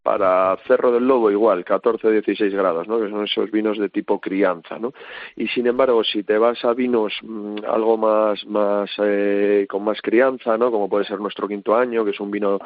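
A man's voice: on the low side, fairly even intonation, moderate pace, no echo, narrow, tinny audio. A man explains things informally as a guest expert in a radio interview, often checking for agreement with little tag questions. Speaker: winery representative, interview guest.

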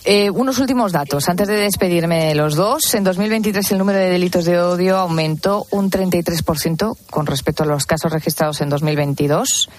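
A woman hosting a radio discussion, measured and reading out figures. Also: lowish voice. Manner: measured, reads figures and data. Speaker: radio talk show host.

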